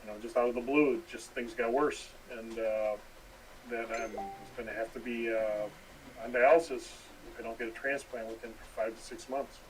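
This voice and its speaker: A man's voice: mid-range voice, fairly even intonation, normal speed. A middle-aged or older man giving a personal testimonial for a video appeal. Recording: distant mic, faint noise, played-back video.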